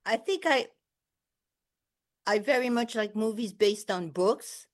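An older woman, a native French speaker, answering a radio interviewer's questions as a memoirist and poet in a measured, gentle way. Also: on the high side.

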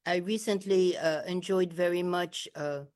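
An older woman, a native French speaker, answering a radio interviewer's questions as a memoirist and poet in a measured, gentle way. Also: on the low side, fairly even intonation.

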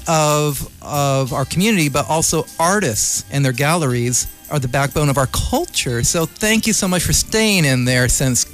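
Higher-voiced man hosting radio. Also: lively intonation, moderate pace, hardly any pauses, a bit bright, faint music behind. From California, United States. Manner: chatty, jokey, slangy, effusive. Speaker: radio host. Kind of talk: casual community radio interview.

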